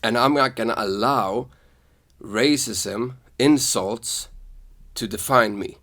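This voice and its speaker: A man's voice: medium pitch, slow. A young man from Sweden hosting a podcast, talking earnestly and telling personal stories in casual conversation. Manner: earnest, storytelling, animated when quoting others. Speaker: podcast host.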